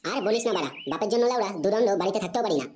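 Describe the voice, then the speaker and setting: A woman's voice: medium pitch, expressive, rapid-fire, close to an everyday voice. A woman voicing a hot-tempered, foul-mouthed cartoon boy, brash and slangy, with a West Bengali accent. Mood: irritated. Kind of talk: comedy cartoon dialogue. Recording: clearly noisy background.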